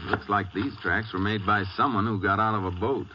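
An actor playing a calm, shrewd Texas Ranger with an American accent and laconic manner in a 1950s radio western. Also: male voice, lowish voice, a little fast, close to an everyday voice. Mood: calm, reasoning. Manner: laconic, understated. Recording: noisy, dull old radio recording.

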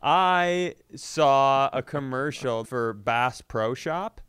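A man co-hosting a comedy podcast, telling stories casually in a halting, profane way. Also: mid-range voice, a bit bright, smooth, no echo, clean studio sound. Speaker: podcast co-host.

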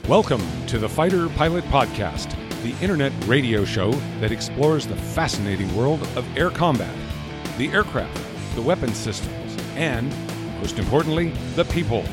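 An older man delivers a scripted show intro in a loud, emphatic announcer style. His voice sits at an ordinary pitch, and loud guitar music plays right under him. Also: expressive, moderate pace.

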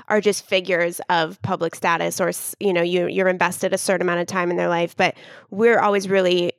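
Co-host: woman, low-ish voice with vocal fry, quick and affirming. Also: little pitch movement, no room sound, studio quality. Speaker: podcast co-host. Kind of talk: casual conversational interview.

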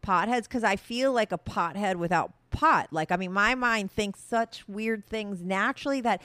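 A woman's voice: mid-range voice, moderate pace, fairly bright tone, no echo. A woman in her forties hosting a casual chat, blunt and teasing, who swears freely and talks over her guest. The sound is dry and close-miked. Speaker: host.

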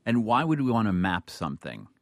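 A man with a low, deep voice hosts a radio interview in a very dry acoustic. He is conversational but deliberate, halting between phrases and leaning on chosen words. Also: sing-song, no echo.

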